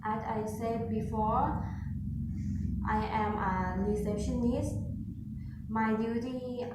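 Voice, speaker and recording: Thai woman talking real slow and halting, sounds far off in an echoey room. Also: a little high, steady tone, background noise. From northern Thailand. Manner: halting non-native English with pauses. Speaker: test candidate. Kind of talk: speaking test answers.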